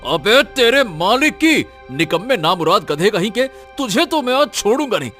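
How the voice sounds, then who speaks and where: A man playing a blustering, high-pitched village bully. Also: big pitch swings, normal speed, pushed and bright, faint music behind, exaggerated voice. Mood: angry, threatening. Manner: blustering, scolding. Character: hot-tempered, bullying village donkey owner, cowardly when scared. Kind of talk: animated Hindi moral-story dramatization.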